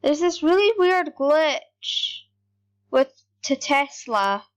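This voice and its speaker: A woman giving rambling, excitable commentary over her gameplay, talking straight to her viewers. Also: sits high, very slow, highs cut off. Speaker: gaming YouTuber.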